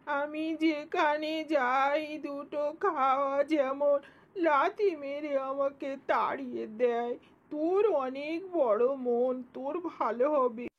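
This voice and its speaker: A woman's voice: high voice, fairly even intonation, highs cut off. Woman doing a plaintive, homeless old granny. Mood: tearful gratitude. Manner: plaintive, drawn-out, blessing. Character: homeless, grateful, pious old village woman. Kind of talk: cartoon folk-tale voice acting.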